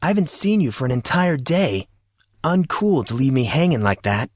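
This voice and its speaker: Audio drama schoolboy, teens, played by an adult man: youthful and wry, slightly husky, close dry sound. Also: a little high, very animated, normal speed, dry recording, voice pushed up. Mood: worried, pressing. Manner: casual, youthful, blurting. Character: teenage schoolboy, earnest, wry, casual.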